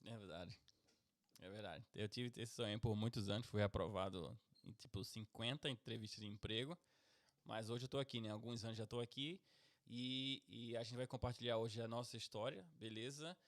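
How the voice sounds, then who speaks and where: A man's voice: fairly low pitch, fairly even intonation, normal speed. Chatty middle-aged Pernambucan man. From Brazil, Pernambuco. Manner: chatty, keeps tagging "certo?" and "beleza?". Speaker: podcast host, IT professional. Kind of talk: casual podcast chat.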